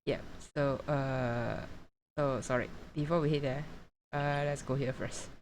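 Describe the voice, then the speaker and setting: Female game streamer, low-pitched, slow casual chat to viewers, slightly noisy room. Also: fairly even intonation, darkish tone. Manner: hesitant, chatting to viewers. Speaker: video game livestreamer. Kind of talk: casual live game commentary.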